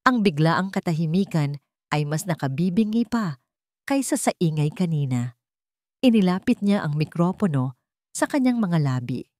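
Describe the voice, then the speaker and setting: A woman narrating a scripted dramatic story in an even, dramatic storytelling manner. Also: low voice, dry recording, studio quality.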